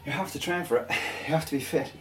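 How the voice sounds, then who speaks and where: A man's voice: fairly high pitch, fast, slight background noise. A man chatting casually while working out. He rambles between sets, laughs often, and sounds out of breath and sweaty. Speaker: online audio creator.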